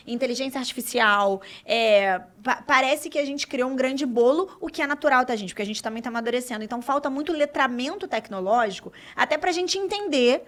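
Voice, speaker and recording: Woman host, Brazilian Portuguese accent, chatty, talks to listeners, somewhat high voice. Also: a bit bright. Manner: chatty, addresses listeners as "gente". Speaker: podcast host. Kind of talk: casual interview chat.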